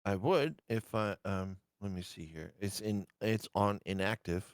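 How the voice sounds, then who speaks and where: A man playing in a tabletop game, sounding unsure as he works out how the game software works. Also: on the low side, a little slow, dry recording, studio quality. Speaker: player. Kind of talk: casual tabletop chat.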